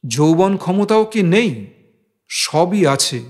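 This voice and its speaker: A man's voice: high-pitched, lively intonation, slow pace, darkish tone. A man reading a literary novel aloud as an audiobook narrator.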